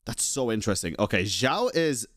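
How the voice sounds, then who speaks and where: A woman's voice: a bass voice, sing-song, normal speed, very noisy. A young woman giving casual live-stream reactions to a game, playful and slangy, with some swearing.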